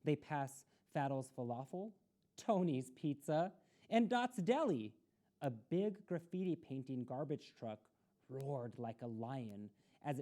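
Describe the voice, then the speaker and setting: Slow, mellow, expressive woman storyteller. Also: a bass voice. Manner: deliberate, with explanatory asides to children. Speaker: storytime reader. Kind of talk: expressive children's story reading.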